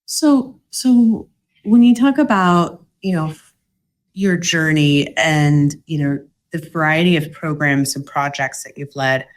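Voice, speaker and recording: A woman's voice: deep voice, slow. A woman hosting a podcast interview, chatty, asking long rambling questions. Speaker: podcast host.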